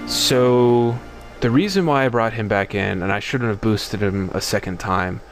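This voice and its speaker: A man with a deep voice giving relaxed, rambling commentary as he plays through a game and reads its text aloud. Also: measured pace. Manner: relaxed, rambling, many fillers and restarts; reads the game's dialogue aloud in his own voice. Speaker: let's play host. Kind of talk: casual gameplay commentary. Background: game music.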